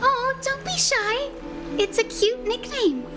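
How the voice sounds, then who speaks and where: A woman's voice: very high-pitched, slow pace. A woman voicing a cheerful, kind young nurse in a fantasy game, sweet, eager and reassuring. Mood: excited. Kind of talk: anime-style fantasy game voice acting. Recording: loud clear music behind the voice. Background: game music.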